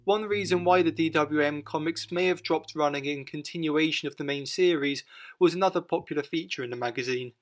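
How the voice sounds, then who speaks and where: Higher-pitched man narrating over music. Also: fairly quick. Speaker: narrator of a fan documentary. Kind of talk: scripted narration.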